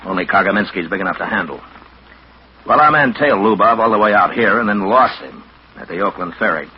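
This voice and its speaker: An actor playing a tough, businesslike American government counter-spy agent, speaking in blunt, clipped mid-century radio drama style. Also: male voice, fairly low pitch, lively intonation, fairly quick, breathy edge. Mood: grave briefing. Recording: dull, noisy vintage radio recording.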